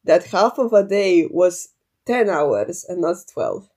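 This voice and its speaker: Low-voiced young woman, rambling podcast chat. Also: big pitch swings, slow, dark tone. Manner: informal, sweary, rambling. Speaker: podcast co-host. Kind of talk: casual unscripted chat.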